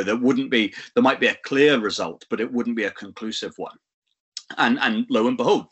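Guy talking politics slow and careful, lots of ums, lowish voice. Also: fairly bright tone. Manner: measured, hesitant, many fillers and restarts. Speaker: guest expert. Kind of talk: analytical discussion.

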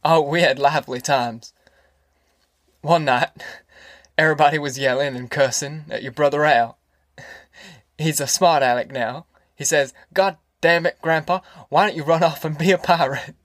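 A man's voice: on the high side, steady tone, normal speed, pauses often, a bit bright, no room sound, studio quality, natural voice. A man voicing a boisterous older farmer father in an audiobook, rambling and folksy, with a rural Southern American accent. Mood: amused, boisterous. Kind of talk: audiobook character acting.

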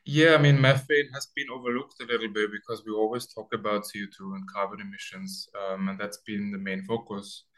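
A man's voice: lowish voice, level tone, brisk, hardly any pauses, a bit bright, poor audio. A man from Austria, a founder as interview guest, explaining step by step over a remote call with many ums. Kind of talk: casual interview talk.

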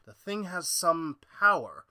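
A man's voice: fairly high pitch, big pitch swings, unhurried, sharp tone, exaggerated voice. A man playing an obsessed, domineering mad scientist in an old-time radio horror play, with a theatrical, gloating manner. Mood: fascinated.

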